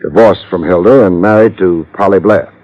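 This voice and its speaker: Low-voiced, hardboiled radio detective. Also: male voice, normal speed. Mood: firm, explanatory. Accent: American. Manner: clipped, sardonic, hardboiled. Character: wry, tough male private detective. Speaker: radio drama actor. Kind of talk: 1950s radio detective acting. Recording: muffled old radio recording.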